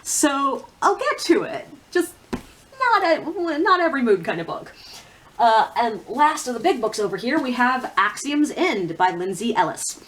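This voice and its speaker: A woman's voice: a little high, big pitch swings, a little slow, fairly bright tone, a little hiss or hum. A woman talking casually and candidly to viewers as a book reviewer, with opinionated, informal asides. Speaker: book reviewer on a video channel. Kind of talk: casual chatty vlog talk about books.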